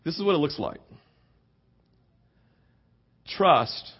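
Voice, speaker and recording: Male preacher, high-pitched voice, earnest conversational delivery with rhetorical questions, on a dull lo-fi recording. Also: very animated, slow pace, breathy edge. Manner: acts out imagined dialogue informally. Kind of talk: conversational sermon.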